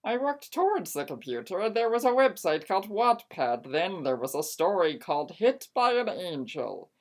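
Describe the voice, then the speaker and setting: A woman's voice: on the low side, very animated, normal speed. A woman narrating a first-person story as a theatrical dramatic reading.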